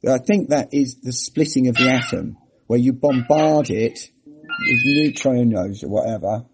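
A man in casual conversation, rambling and hesitant as he muses aloud. His voice is husky, dark and mellow. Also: medium pitch. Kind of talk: casual chat.

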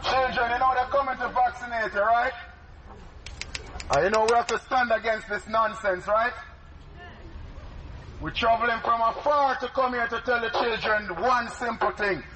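A man from Jamaica with a Jamaican accent, chanting and talking loudly through a bullhorn outdoors near a school. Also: very high-pitched, fairly even intonation, normal speed, long pauses, slight background noise, low-quality recording. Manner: loud, sing-song, chanting. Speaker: street campaigner.

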